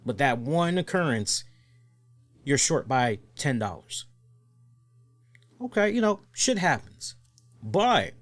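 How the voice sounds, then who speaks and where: Rambling man hosting a wrestling show. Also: on the high side. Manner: conversational, rambling, with everyday hypotheticals. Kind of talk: live-streamed casual commentary.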